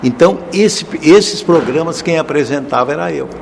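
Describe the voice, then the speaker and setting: A man's voice: fairly high pitch, normal speed, vocal fry. An older man, a former radio presenter, reminiscing in an interview and often stumbling over repeated words. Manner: hesitant, repeats words, tags with "né?". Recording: noisy background.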